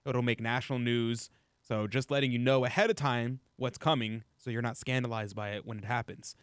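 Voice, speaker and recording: A male talk radio host giving his take on the day's news in a mid-range voice. He is opinionated and animated, jumping higher in pitch when he stresses a point. The sound is dry and close, with almost no room echo. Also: fairly quick, clean tone. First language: English. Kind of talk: news commentary on a call-in radio show.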